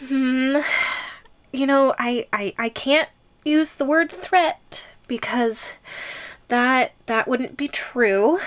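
A woman playing a lovesick, possessive yandere giantess in an audio roleplay, giggly and coaxing with a halting delivery. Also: high voice. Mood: pleading, unhinged. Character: clingy, lovesick yandere giantess, sweet but menacing.

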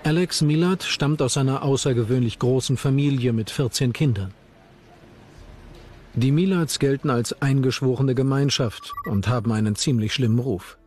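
A man's voice: medium pitch, moderate pace, darkish tone, faint music behind. A man reading scripted narration for a documentary.

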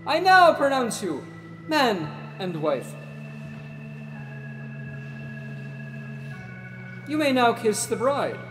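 A middle-aged man playing a weary, deadpan parish priest in an improvised soap opera, dry and solemn. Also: extremely high pitch, a little slow, soft background music, full character voice.

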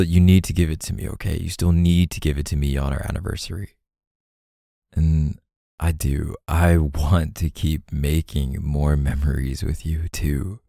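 A man playing a gentle, apologetic, doting boyfriend in an intimate ASMR roleplay, speaking tenderly and haltingly close to the mic. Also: rumbling low, creaky, dry recording, full character voice. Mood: tender, earnest. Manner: tender, halting, sighing between phrases. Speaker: boyfriend roleplay audio performer.

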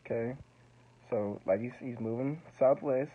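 A man's voice: medium pitch, unhurried, narrow, tinny audio, a little hiss or hum. A young man in his twenties, a student pilot, explaining recorded radio calls in a casual, slangy way, repeating himself often. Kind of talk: casual explainer over playback.

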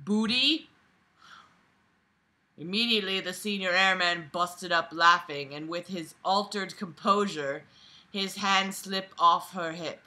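A woman's voice: on the low side, slow pace, sharp tone. A woman in her twenties reading aloud and breaking off for sarcastic, exasperated, profane commentary. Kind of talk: mocking read-aloud with casual profane commentary. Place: small bedroom, lying on a bed indoors.

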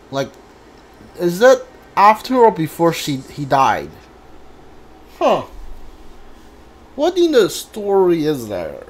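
A man giving casual playthrough commentary on a game, musing aloud and asking himself questions. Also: a little high. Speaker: game streamer.

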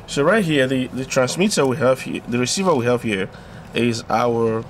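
A man presenting an unscripted electronics tutorial, explaining step by step in a halting way. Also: medium pitch, expressive. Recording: clearly audible background noise.

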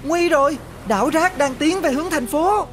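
Actress as alert young boy, high pitch, energetic, animated series, music underneath. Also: moderate pace, natural voice. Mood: urgent.

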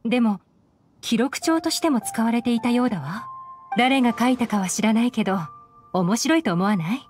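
Game investigator, young woman played by an actress: highish, cool, calculating, measured, over background music. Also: lively intonation, darkish tone, lightly acted. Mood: shrewd, calm. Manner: composed, measured, explanatory. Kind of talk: Japanese game voice acting.

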